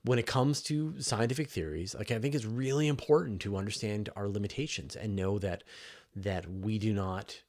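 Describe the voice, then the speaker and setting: A man with a fairly low voice hosts a casual science Q&A livestream. He talks conversationally, leans hard on key words, and often restarts a thought to put it another way. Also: normal speed, darkish tone. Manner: emphatic, conversational, often rephrasing mid-thought. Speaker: host of a science question show; science journalist. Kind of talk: casual livestream Q&A, reading questions and answering off the cuff.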